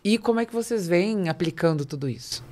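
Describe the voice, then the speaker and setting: A woman's voice: fairly low pitch, animated, fairly quick, darkish tone. A middle-aged Brazilian woman hosting a business podcast, explaining her points emphatically with repetition for stress. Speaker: podcast host. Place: small padded podcast studio. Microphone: close studio mic on boom arm.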